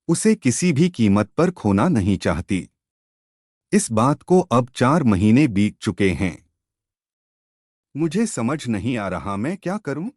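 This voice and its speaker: A man's voice: fairly low pitch, darkish tone, no echo, studio quality. A man reading scripted relationship stories and advice as a narrator. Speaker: story channel narrator.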